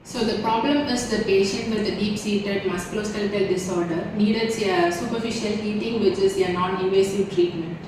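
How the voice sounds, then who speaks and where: A woman's voice: mid-range voice, steady tone, normal speed, hardly any pauses. A young woman giving a student case study presentation. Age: young adult. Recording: echoey room, distant mic, noisy.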